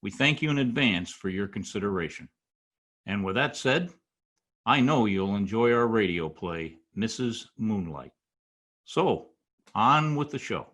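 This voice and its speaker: Low-pitched man making a formal introduction. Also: animated, measured pace, fairly bright tone. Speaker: community radio content director introducing a show. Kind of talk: scripted introduction and fundraising appeal.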